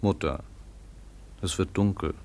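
An actor playing a disillusioned, gently sombre grown son in a 1950s German radio drama, speaking in a subdued manner. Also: male voice, low-pitched, unhurried.

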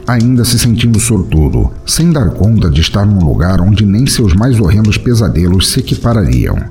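A man giving a theatrical, scripted narration of a dark fantasy tale as a storyteller. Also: fairly low pitch, big pitch swings, normal speed, slight background noise. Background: music.

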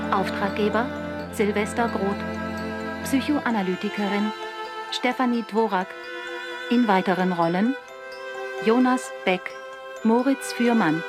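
A woman reading scripted radio drama credits as an announcer, measured and formal. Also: mid-range voice.

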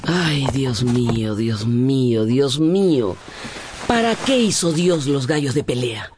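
An actress playing a worried, reproachful mother in a radio drama, emotional and husky. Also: very deep voice, lively intonation, slow pace, noisy background, full character voice. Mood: anguished. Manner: emotional, scolding and lamenting.

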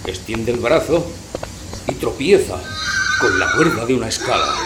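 A man's voice: medium pitch, expressive. A man narrating a comic verse tale in a dramatic, theatrical, declamatory manner. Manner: dramatic, declamatory, drawn-out phrasing. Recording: roomy echo, loud background noise.

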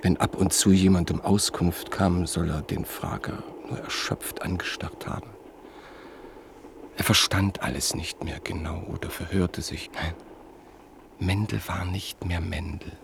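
A man narrating a radio play in a measured, solemn manner. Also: sits low, fairly even intonation, vocal fry, background noise.

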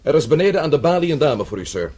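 Lively, firm, courteous man. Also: a little high, very fast, slightly rough. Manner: polite, firm. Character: earnest, brisk, resolute son-in-law, a man. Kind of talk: radio drama acting.

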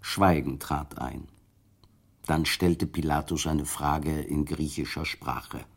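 A man's voice: extremely low pitch, steady tone, takes it slowly, husky. A man narrating a literary radio drama from a script, telling the story in formal prose.

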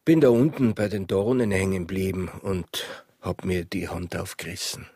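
An actor playing a stern, proud, curt border guard in a radio drama. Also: male voice, low-pitched, fairly even intonation, normal speed, dark tone, husky. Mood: curt, wary. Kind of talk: radio drama acting in dialect.

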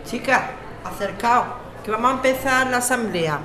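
A woman playing a serious activist giving measured instructions to neighbours in an audio drama. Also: on the high side, a crowd in the background, close to an everyday voice, low-quality recording. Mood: serious, instructive. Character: serious activist briefing neighbours on legal precautions. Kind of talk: scripted amateur radio drama. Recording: faint ambient background noise. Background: faint ambience.

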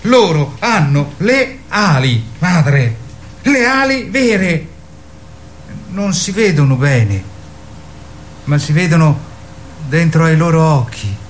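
A man playing a naive, earnest young boy who speaks in a halting, wondering, childlike way in a radio drama. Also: on the high side, sing-song, slight background noise. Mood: dreamy, earnest. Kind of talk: theatrical radio drama acting.

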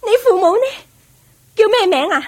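An actress playing a suspicious, troubled mistress of the house in a Cantonese radio drama, questioning theatrically with a Hong Kong accent. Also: female voice, very high voice, animated, moderate pace, a little mellow. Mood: alarmed.

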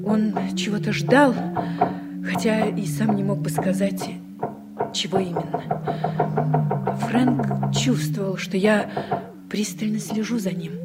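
A woman's voice: mid-range voice, natural voice. An actress playing a watchful woman who tells her story in dramatic scripted style in a Soviet radio play. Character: watchful woman narrating her memories, questioning her partner. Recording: vintage radio play with music.

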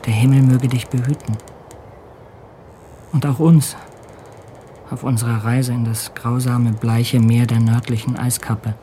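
A man acting as a grave captain of a polar expedition in a radio play. His pitch sits mid-range and his delivery is solemn, measured and literary. Clearly audible sea noise surrounds the voice. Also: flat delivery, natural voice. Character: grave, questioning male captain of an Arctic expedition ship. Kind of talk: German radio drama acting and narration. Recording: noisy sea ambience behind the voice.

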